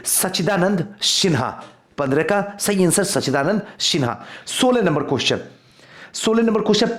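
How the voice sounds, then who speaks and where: A man delivers a quiz lesson as a teacher. His voice sits a little high and swings widely in pitch. He reads questions and answers out to students, repeating key phrases for emphasis. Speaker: exam-prep teacher.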